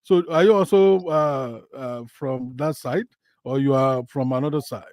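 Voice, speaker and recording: A male host with a mid-pitched voice who hesitates and restarts often while welcoming and questioning callers. His voice is recorded dry and close, with no room echo. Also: unhurried, highs cut off. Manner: hesitant, many fillers and restarts. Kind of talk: live call-in talk show.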